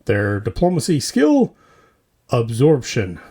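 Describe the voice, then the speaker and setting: A man's voice: medium pitch, wildly swooping pitch, takes it slowly, dark tone, slightly rough. A man hosting a comedy podcast, reading scripted mock news in a theatrical, tongue-in-cheek way with punchy short phrases.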